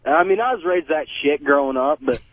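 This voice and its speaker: A twenty-something American man talks as a caller on a thin phone line. His voice is fairly high, and he rambles casually and defensively, swearing constantly. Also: a little fast. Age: 20s. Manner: rambling, heavily profane, defensive. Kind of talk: casual call-in chat. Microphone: telephone. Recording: tinny, narrow phone line.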